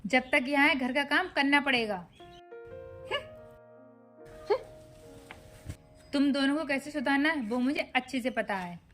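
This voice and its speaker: A woman's voice: high voice, fairly quick, lightly acted. A woman playing a middle-aged, scheming mother-in-law in a family moral-story skit, firm and authoritative. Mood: stern. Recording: roomy echo with music behind.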